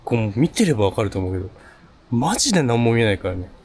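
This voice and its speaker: A man's voice: mid-range voice, sing-song, fast talker, a crowd in the background. A scared man vlogging a ghost hunt outdoors at night in casual, jumpy talk full of exclamations and muttering. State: frightened. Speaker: ghost-spot explorer and video host. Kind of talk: on-location paranormal vlog, casual talk. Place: outdoors on a suspension bridge at night. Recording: noisy outdoor location sound.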